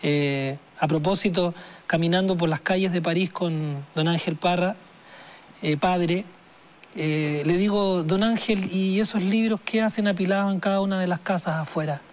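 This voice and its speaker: Casting note: man in his forties, high-pitched, reflective and halting, interview guest. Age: 40s. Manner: slow, thoughtful, halting with many restarts. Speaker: singer-songwriter guest.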